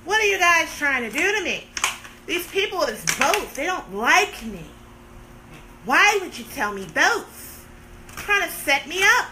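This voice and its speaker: High-voiced woman joking on a livestream. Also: very animated, unhurried, noisy background. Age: 30s. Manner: playful, sarcastic, theatrical complaining. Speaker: livestream host. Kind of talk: casual live-stream chat with viewers.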